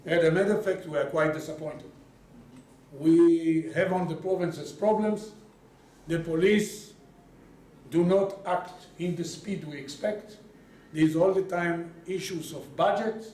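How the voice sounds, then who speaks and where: Man, highish pitch, chairperson's news statement, echoey room, off-mic distance. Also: unhurried, darkish tone. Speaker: organization chairperson.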